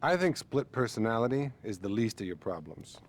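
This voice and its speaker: An actor playing a patronising, bluntly judgmental male therapist in a video game, measured and condescending. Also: medium pitch, sing-song, a little hiss or hum, natural voice. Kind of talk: video game cutscene acting.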